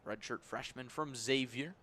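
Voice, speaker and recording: A man calling baseball play-by-play on the radio in measured, descriptive, clipped phrases. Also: mid-range voice, pushed and bright. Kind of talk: radio sports commentary.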